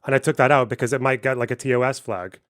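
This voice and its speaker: A man's voice: mid-range voice, steady tone, quick pace. A man hosting a heated online debate from his stream, combative and pressing, often cutting in to demand answers.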